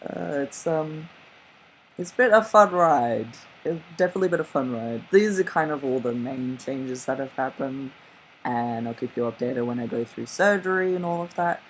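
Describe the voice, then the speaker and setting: A man talks casually to camera in a personal vlog update, his voice fairly high-set but sitting at a middling pitch. He is candid and chatty and laughs easily between remarks. A faint background hiss sits under the voice. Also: poor audio. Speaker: vlogger.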